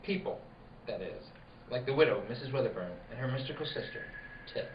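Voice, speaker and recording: A man's voice: medium pitch, expressive, fairly quick. Distant, echoey man narrating radio drama. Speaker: announcer and narrator of a theatre show. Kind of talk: scripted old-time radio narration. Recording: distant, roomy, muffled, noisy recording.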